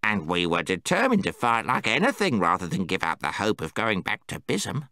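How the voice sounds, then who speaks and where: A timid male gnome, fretful and squeaking when scared, as a man reads him aloud. The voice leaps wildly between low mutters and high squeals. It sounds dry and close, with no room around it. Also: big pitch swings, brisk, sharp tone, no echo, exaggerated voice. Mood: earnest. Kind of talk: audiobook character voice.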